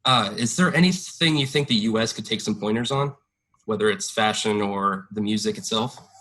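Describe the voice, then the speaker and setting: A man's voice: lowish voice, normal speed, a bit bright. A young man interviewing a musician for student radio, asking questions hesitantly with frequent uhs and restarts.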